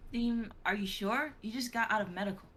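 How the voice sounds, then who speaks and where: A woman's voice: mid-range voice, a little fast, a bit bright, natural voice. A woman playing a caring soldier in a dramatic reading of a sci-fi script. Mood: concerned.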